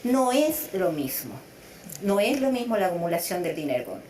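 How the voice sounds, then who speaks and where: Reflective psychologist, echoey room. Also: female voice, mid-range voice, big pitch swings, normal speed, a little mellow, slight background noise. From Chile, raised in Venezuela. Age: middle-aged. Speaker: psychologist guest. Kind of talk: conversational interview.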